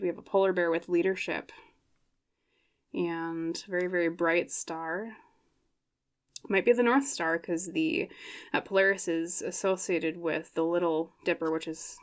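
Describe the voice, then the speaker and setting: Young woman, lowish voice, casual tarot chat, thinks out loud, slight scratch in the throat. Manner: chatty, thinks aloud with many fillers and quick laughs. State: voice getting scratchy. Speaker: tarot reader. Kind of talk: casual pick-a-card tarot reading.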